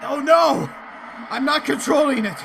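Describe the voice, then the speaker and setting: A woman's voice: sits high, measured pace, background noise. A woman voicing a frail, frightened young boy unicorn with strained, plaintive delivery in a dramatic cartoon dub. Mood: panicked.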